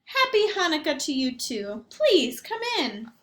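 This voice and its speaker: Chatty old granny who can't see or hear much, lady doing her, bright voice, roomy sound. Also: sits high, big pitch swings, a little slow, voice pushed up, heavily acted. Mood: fond. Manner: fond, fussing and sing-song. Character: kindly old woman, half blind and half deaf, chatty.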